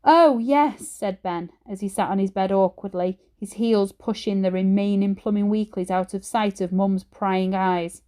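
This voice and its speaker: A woman teacher reading a children's story aloud in an expressive storytelling style. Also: medium pitch, moderate pace, hardly any pauses.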